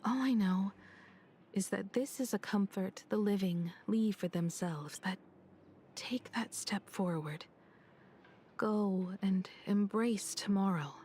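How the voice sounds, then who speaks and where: An actress playing a composed, melancholic young woman, soft and breathy, in a dramatic game story. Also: mid-range voice, slow pace, a little hiss or hum, lightly acted. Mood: solemn, tender.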